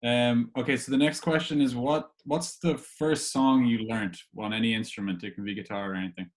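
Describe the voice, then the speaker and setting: A man's voice: mid-range voice, steady tone, brisk. A man hosting a casual podcast interview, asking questions in an informal, hesitant way.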